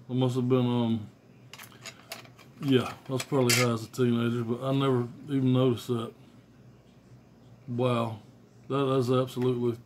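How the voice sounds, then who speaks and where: A man's voice: mid-range voice, slight background noise. A middle-aged man rambling casually and bluntly about his cassette collection, swearing freely, filmed on a phone at arm's length in a small room. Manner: blunt, profane, offhand. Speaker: music collector vlogger. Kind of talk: casual rambling show-and-tell. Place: small bedroom indoors. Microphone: phone camera at arm's length.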